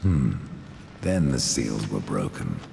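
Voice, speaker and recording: A man playing a grim, sardonic reaper horseman in a video game, very deep and gravelly, with dry, terse delivery. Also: sing-song, slow pace, noisy background, exaggerated voice. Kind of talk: video game cutscene acting.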